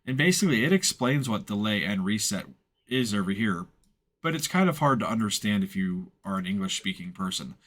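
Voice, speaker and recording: A man talks through an unscripted step-by-step software tutorial in an informal, matter-of-fact, explanatory way. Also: lowish voice, big pitch swings, a little fast. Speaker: instructor, software tutorial presenter.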